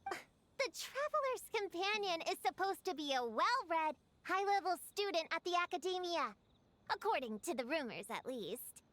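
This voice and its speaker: A woman's voice: very high-pitched, sing-song, moderate pace, fairly bright tone, no echo, heavily acted. A woman voicing an eager, stubborn young girl investigator in a video game, earnest and a bit self-important. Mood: insistent.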